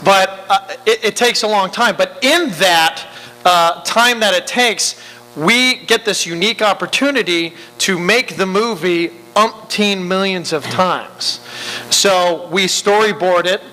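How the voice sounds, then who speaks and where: A man's voice: high voice, a little slow, sharp tone. A man, an animation film director, talking candidly on a festival panel with wry, self-mocking humor. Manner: wry, self-mocking, plays at quotes in funny voices. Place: panel stage in a large hall. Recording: reverberant hall with faint hum.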